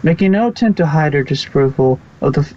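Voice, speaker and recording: A man's voice: on the high side, lively intonation, fast, mellow. A man narrating a fan fiction story aloud as an amateur reader, in storybook style. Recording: dull, lo-fi home microphone.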